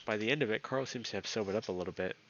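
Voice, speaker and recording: Lowish guy reading a visual novel out loud, muffled lofi sound. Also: racing, slight background noise. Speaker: video game let's player. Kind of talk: narrating a visual novel aloud. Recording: dull lo-fi audio under loud guitar music. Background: loud plucked guitar music.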